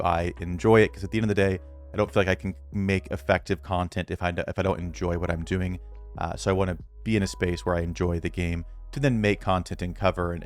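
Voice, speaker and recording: A man who makes videos about a mobile strategy game, talking casually and earnestly to his viewers, rambling with many restarts and fillers. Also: low-pitched.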